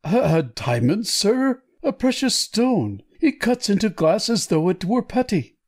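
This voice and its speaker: Man acting a flustered, husky commissionaire, slow-spoken. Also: high voice, very animated, a little mellow, heavily acted. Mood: awed. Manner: stammering, gasping, deferential. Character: flustered, astonished male commissionaire, humble and deferential. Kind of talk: dramatic audiobook reading.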